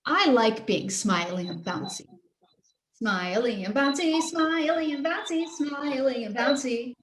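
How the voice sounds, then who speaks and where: Casting: woman as bubbly, childlike sweetheart; very high, swooping, sing-song, playful, in a roomy-sounding reading. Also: animated, measured pace. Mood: playful glee. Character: smiley, bouncy, childlike cheerful loving partner. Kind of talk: live play reading over video call. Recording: roomy, echoey video call sound.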